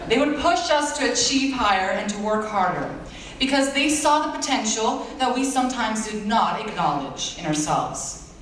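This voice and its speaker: A late-teen young man whose first language is Bulgarian delivers his valedictorian speech, earnest and self-deprecating with playful asides. His voice booms around a big reverberant hall, picked up from far back by an audience camera, with a faint hum underneath. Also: expressive, normal speed, fairly bright tone. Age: late teens. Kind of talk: graduation speech. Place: large school auditorium. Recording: echoey hall recorded from far off.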